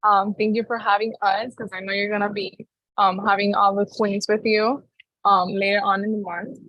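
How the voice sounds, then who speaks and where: A young woman from Cuba, a pageant contestant answering interview questions in a giggly, halting way with many fillers. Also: mid-range voice, level tone, no echo, muffled recording. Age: young adult.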